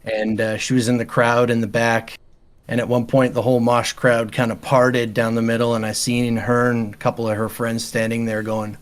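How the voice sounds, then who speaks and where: A man chatting casually as an interview guest, quiet and calm in his delivery. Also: medium pitch, normal speed. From Canada. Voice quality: quiet speaking voice. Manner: calm, easygoing. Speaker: metal band vocalist, podcast guest.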